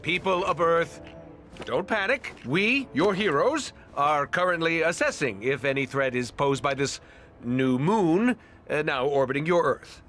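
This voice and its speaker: A man's voice: on the high side, lively intonation, a little slow, a bit bright. A man voicing a pompous superhero impostor in a video game, declamatory and self-important. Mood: falsely reassuring. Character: pompous male superhero impostor, secretly villainous. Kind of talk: animated video game acting.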